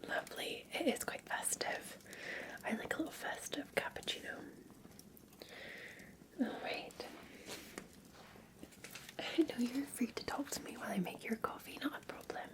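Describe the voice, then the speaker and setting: A Scottish woman in a gentle, unhurried ASMR roleplay, whispering breathily as a café barista talking to a customer. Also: high voice, animated.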